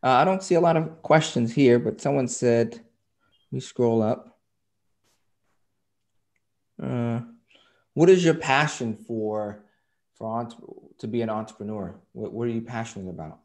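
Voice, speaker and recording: A man moderating an online panel over a video call, upbeat, with quick affirmations between speakers. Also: medium pitch, darkish tone.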